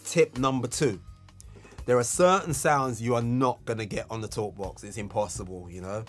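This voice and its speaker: A man's voice: mid-range voice, normal speed. A man giving an instructional tutorial as a music tutor, explaining technique with emphasis on key words.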